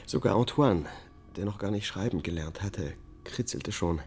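An actor playing a subdued, haunted man on trial for killing his family, testifying in a measured, matter-of-fact way in a radio drama. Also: sits low, expressive, darkish tone, soft background music. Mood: haunted, tender.

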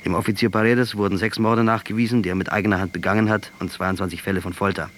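An actor playing a proud, hardened revolutionary commander, sardonic and deliberate, in a radio play. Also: male voice, on the low side, steady tone, fairly bright tone, slight background noise, lightly acted. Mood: grave, stern. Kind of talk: German radio drama dialogue.

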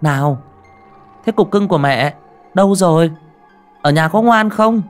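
A man voicing a frantic young mother who questions her child in a shrill, worried voice, in a storybook reading. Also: expressive, a little slow, faint music behind. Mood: cooing.

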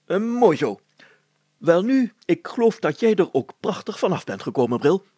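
A man's voice: high voice, very animated, normal speed, mellow, slightly rough, no echo, pitched above the performer's own voice, heavily acted. Man putting on a pompous blustering village mayor, raised voice. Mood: brisk, commanding. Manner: pompous, old-fashioned formal wording, full of groans and interjections.

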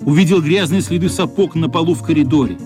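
A man's voice: on the high side, quick pace. A man giving dramatic scripted narration for a radio play. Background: music.

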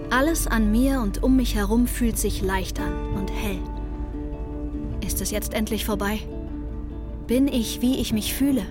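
Casting: actress as introspective young female first-person narrator, slightly high voice, reflective, radio drama, music underneath. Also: a little slow, pauses often, close to an everyday voice. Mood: elated, dreamy. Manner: reflective, literary. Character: introspective daughter narrating her own journey.

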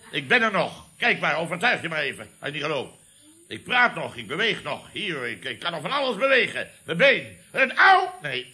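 A man's voice: fairly high pitch, bright, forceful tone, full character voice. A man playing an indignant, blustering company boss in a radio comedy, sputtering and repeating himself in exasperation. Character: indignant, blustering male boss of a building firm. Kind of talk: 1970s Dutch radio comedy acting.

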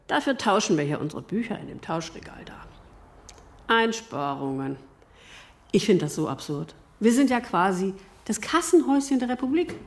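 Indignant chatty clerk, lively pitch. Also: female voice, normal speed, a little hiss or hum. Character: chatty indignant woman tax office worker.

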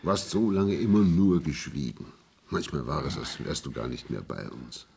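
An actor playing an aging companion in a radio play, gently insistent as he coaxes and reminisces. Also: extremely low pitch, lively intonation, moderate pace, creaky, breathy edge, heavily acted. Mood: coaxing. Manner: coaxing, reminiscing. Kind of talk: German radio play acting.

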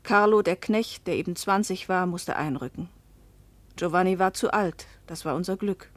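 Actress as self-willed wife, dry close sound. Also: female voice, fairly low pitch, moderate pace, no echo, lightly acted. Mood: reflective. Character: restless, self-willed fisherman's wife recounting her past. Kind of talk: first-person radio play narration and acting.

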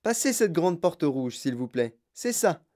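A storyteller voicing a sprightly, mischievous old chocolatier with a clear, fluty voice, excitable and effusively polite, in an audiobook. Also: medium pitch, unhurried. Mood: brisk, cheerful. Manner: excitable, repeats himself in courteous bursts.

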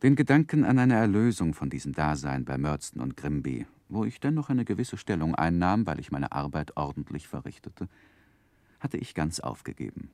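Low voiced guy narrating his own boyhood, earnest, really varied intonation, old radio drama. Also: moderate pace, dry recording, exaggerated voice. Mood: earnest, sorrowful. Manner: earnest, literary, expressive. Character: grown man recalling his miserable boyhood, earnest, reflective. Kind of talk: scripted first-person narration in a 1960s German radio play.

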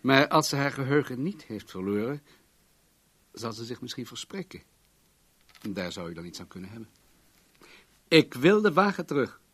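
A man's voice: mid-range voice, big pitch swings, normal speed, pauses often, a bit bright, husky, full character voice. Radio play role: wealthy businessman, guarded, commanding, formal delivery, mid-range voice. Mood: matter-of-fact. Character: wealthy, controlling businessman, guarded and self-assured.

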